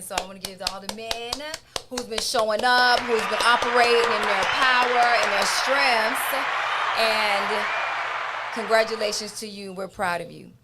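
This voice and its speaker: Female host for a motivational talk show opener: very unhurried, emphatic, deliberate, encouraging delivery. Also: medium pitch, fairly bright tone. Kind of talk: motivational radio show introduction.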